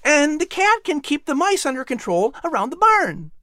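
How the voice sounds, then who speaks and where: Woman voicing an eager high-pitched young boy. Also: sing-song, moderate pace, sharp tone, dry recording, clean studio sound, full character voice. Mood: eager, persuasive. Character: nine-year-old colonial boy, eager, persuasive, sweet-natured. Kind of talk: audiobook character voice.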